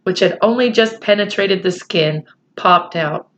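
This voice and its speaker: A woman reading a children's book aloud with chatty, expressive asides to young listeners. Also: mid-range voice, a little slow, slight background noise. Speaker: storyteller, teacher. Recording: slightly muffled home recording.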